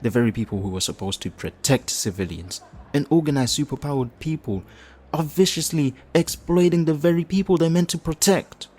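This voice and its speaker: A man playing a flirtatious, theatrical supervillain leader in an audio roleplay, smug and righteous, with teasing, drawn-out phrasing. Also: a little high, darkish tone, natural voice. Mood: indignant, impassioned. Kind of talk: audio roleplay addressed to the listener.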